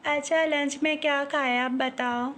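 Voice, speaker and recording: A woman's voice: high-pitched, steady tone, unhurried, fairly bright tone. A woman making casual small talk as one side of a phone call, with repeated short acknowledgements and simple questions.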